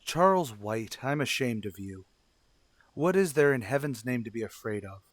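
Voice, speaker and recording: Male actor as stern father, formal, measured, admonishing, old-time radio drama, dry close recording. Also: mid-range voice, lively intonation, no room sound, lightly acted. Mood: stern, disappointed. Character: stern, demanding 1940s father scolding his young son.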